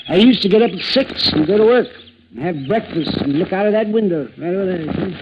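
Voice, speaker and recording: A man's voice: sits high, lively intonation, fairly quick, muffled recording. An actor playing a sour, fussy, wealthy retired man of fifty-seven in 1950s radio drama style, hemming and peremptory. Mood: fussy, musing. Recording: noisy, band-limited old radio recording.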